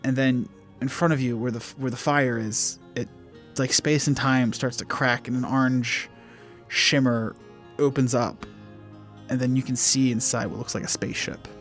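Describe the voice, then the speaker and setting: Informal male game master, halting delivery. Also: mid-range voice, steady tone, faint music behind. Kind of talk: casual improvised tabletop game narration.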